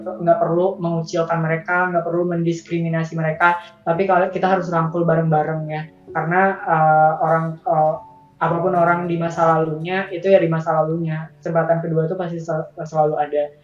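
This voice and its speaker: A woman speaks in a low, fairly flat voice, casual and earnest, like an interview guest encouraging friends. The sound is echoey and a little dull, set back from the microphone, with music behind. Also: far from the mic. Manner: earnest, informal, addressing viewers as friends. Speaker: interview guest, patient sharing their story.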